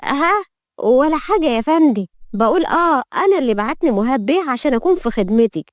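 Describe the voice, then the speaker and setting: A woman performs a young Egyptian maid for an audio story. She is high-pitched, anxious and flustered, forever muttering and pleading. The sound is close and dry. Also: lively intonation, quick pace, dry recording. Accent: Egyptian Arabic.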